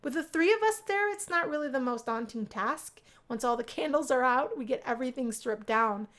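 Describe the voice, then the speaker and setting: A woman reading a first-person visual novel aloud as expressive story narration. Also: high-pitched, brisk.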